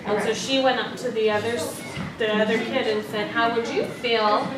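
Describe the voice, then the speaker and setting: Woman, teacher in training, slightly high voice, question-led discussion, encouraging, distant roomy recording with background noise. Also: fairly even intonation, moderate pace, over a noisy crowd. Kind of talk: leading an interactive read-aloud discussion. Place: indoor room with background noise. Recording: roomy, distant mic, noisy classroom.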